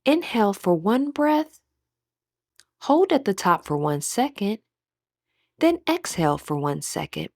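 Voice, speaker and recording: A woman with a breathy voice gently leading a guided meditation as an instructor, in measured, scripted phrases. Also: mid-range voice, very animated, no echo, studio quality.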